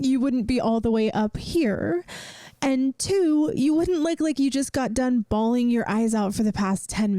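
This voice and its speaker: Woman acting a gentle, high-voiced caring best friend. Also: no echo, studio quality. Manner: gentle, earnest, hesitant, reassuring. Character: caring young woman comforting her best friend, shyly affectionate. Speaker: ASMR roleplay performer. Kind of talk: soft-spoken ASMR audio roleplay.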